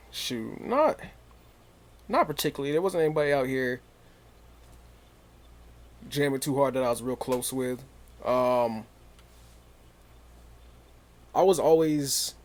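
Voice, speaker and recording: Easygoing young rapper guest. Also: male voice, medium pitch. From Maine, USA. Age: 20s. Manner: relaxed, easygoing, quick affirmations. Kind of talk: casual interview chat.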